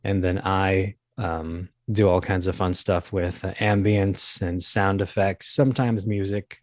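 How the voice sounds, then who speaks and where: Male interview guest, low-pitched and dry-sounding, casual, feeling his way thoughtfully through each point. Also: steady tone, measured pace, no echo. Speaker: audio drama creator and guest.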